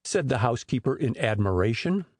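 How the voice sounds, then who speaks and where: A man narrating a storybook audiobook in an expressive storytelling manner. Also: mid-range voice, a little fast, no room sound, clean studio sound.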